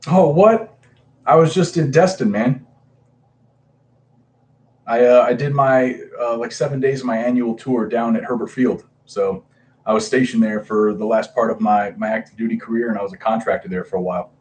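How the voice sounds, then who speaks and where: A man's voice: mid-range voice, normal speed, darkish tone, a little hiss or hum. A man in his thirties casually chatting on a livestream, greeting viewers by name with lots of hesitant fillers. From Hawaii. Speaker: comic book livestreamer.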